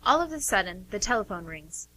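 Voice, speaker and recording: A woman's voice: medium pitch, big pitch swings, brisk, sharp tone, rough recording. A woman reading scripted stage directions as the narrator of a radio play.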